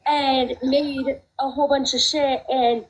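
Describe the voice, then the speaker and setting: A woman's voice: sits high, little pitch movement, takes it slowly, rough recording. A woman co-hosting a livestream, blunt and indignant toward a critic. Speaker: livestream co-host. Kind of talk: casual livestream chat.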